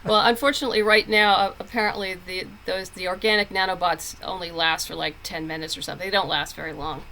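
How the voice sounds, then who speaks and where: A woman's voice: fairly low pitch, steady tone, fast, pushed and bright, low-quality recording. A woman talking casually as a guest in an interview, acting out little quoted asides as she goes. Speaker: interview guest, comic book writer.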